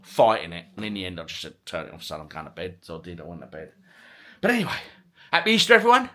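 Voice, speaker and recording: A man's voice: deep voice, big pitch swings, quick pace, fairly bright tone. A middle-aged man chatting casually to his vlog viewers, rambling along in British slang with chirpy greetings. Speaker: vlogger and retro gaming channel host.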